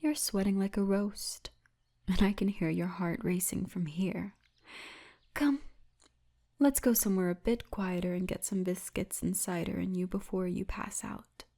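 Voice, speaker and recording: A woman's voice: lowish voice, unhurried, mellow. A woman playing a poised, seductive orc tour guide in an ASMR fantasy roleplay, teasing and often half-whispering. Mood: caring.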